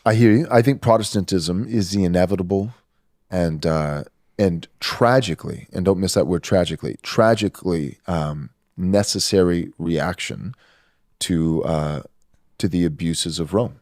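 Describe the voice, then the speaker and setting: A man in a played podcast clip, discussing religious history in a measured, deliberate way. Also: low-pitched, darkish tone, no echo, clean studio sound.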